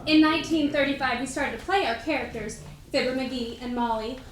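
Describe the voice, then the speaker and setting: Woman playing a high-voiced cheerful radio comedienne. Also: steady tone, a little fast, lightly acted. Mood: cheerful, nostalgic. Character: female radio comedienne, cheerful wife recalling career. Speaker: presenter in a dramatic reading. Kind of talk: first-person scripted historical monologue. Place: indoor room at a live event. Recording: echoey room with background noise.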